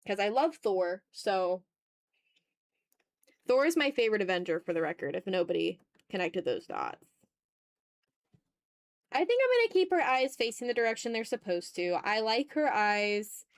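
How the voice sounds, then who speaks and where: A woman's voice: medium pitch, big pitch swings, moderate pace, long pauses, no echo. A woman hosting a casual art livestream, chatting in a playful, slangy, exclamatory way while she draws, close to the mic.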